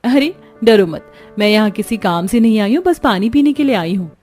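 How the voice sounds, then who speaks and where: A woman's voice: fairly high pitch, expressive, moderate pace, darkish tone, close to an everyday voice. Woman as a sweet young bride, music behind. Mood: gentle.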